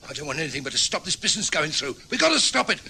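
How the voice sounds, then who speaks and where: A man's voice: fairly high pitch, big pitch swings, rapid-fire, gravelly, pushed and bright, husky, full character voice. A man with an English accent acting a guilt-ridden older husband who hides a killing, in a radio drama, speaking in a frantic, stammering way. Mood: angry. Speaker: husband George.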